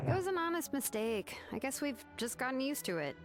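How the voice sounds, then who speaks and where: Easygoing, animated young game heroine. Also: female voice, sits high, moderate pace, faint music behind. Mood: amused.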